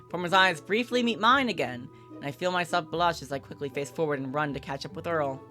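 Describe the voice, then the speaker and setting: A woman's voice: low-pitched, brisk, sharp tone, faint music behind. A woman livestreamer reading a visual novel aloud and commenting casually.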